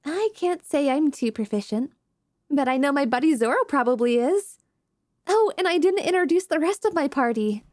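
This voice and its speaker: Woman playing a high-voiced, breezy young female adventurer. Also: animated, moderate pace, a little mellow, no room sound, clean studio sound. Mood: helpful, scatterbrained. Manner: casual, perky, rambling. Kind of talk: fantasy audio roleplay.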